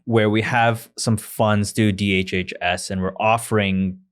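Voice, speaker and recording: A man's voice: on the low side, flat delivery, unhurried. A man in his twenties from Malaysia, a guest co-host chatting casually and giving updates on a podcast. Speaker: pharmacy fellow, guest co-host. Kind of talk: casual podcast chat with announcements.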